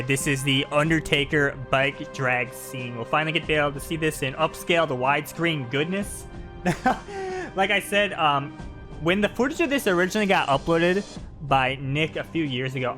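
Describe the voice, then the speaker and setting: A man giving casual, playful live commentary on a video game. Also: a little high. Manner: playful, hams up cutscene dialogue.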